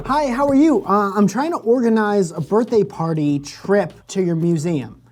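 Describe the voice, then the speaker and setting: A man's voice: high voice, moderate pace. A man improvising a casual phone chat as a prank caller, rambling, apologetic and self-deprecating. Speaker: prank caller on a comedy show. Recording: music playing behind.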